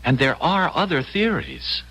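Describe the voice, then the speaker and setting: An actor playing an earnest, determined time traveler in an old-time radio drama, speaking urgently and dramatically. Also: male voice, a little high, expressive, a little slow, pushed and bright, exaggerated voice, poor audio. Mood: thoughtful, resolute.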